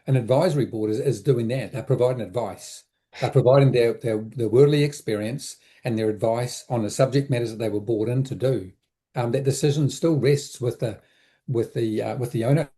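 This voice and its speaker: A man with a notably dark, soft-edged voice at an ordinary male pitch. He explains things conversationally, like an advisor being interviewed. His delivery is reflective, circling back and rephrasing as he goes. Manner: reflective, halting, repeats words and rephrases as thinking aloud. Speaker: interview guest, business advisor.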